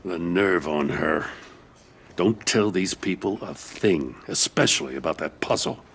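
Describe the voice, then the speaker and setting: A man playing a proud, gruff older police commissioner in a video game, with a gravelly, indignant delivery. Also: low-pitched, lively intonation, unhurried, a bit bright, slight background noise, heavily acted. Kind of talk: video game dramatic acting.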